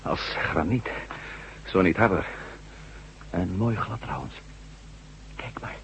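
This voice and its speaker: An actor playing a curious, dryly joking ex-astronaut reporter in a science-fiction radio drama. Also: male voice, deep voice, lively intonation, slow, a bit bright, exaggerated voice. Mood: musing, focused. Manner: dry, teasing, musing. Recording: dull lo-fi radio recording, humming noise.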